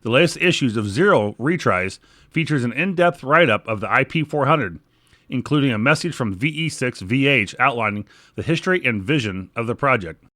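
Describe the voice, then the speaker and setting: A man's voice: mid-range voice, animated, a little fast, pushed and bright, dry recording. An American man hosting a podcast, moving between read news items, sponsor reads and informal remarks. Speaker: podcast host.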